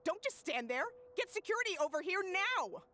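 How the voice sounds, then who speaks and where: A stern official running a tournament. She speaks briskly and gives orders in a high, lively voice. Game music plays behind her. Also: heavily acted. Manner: brisk, commanding. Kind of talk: video game voice acting.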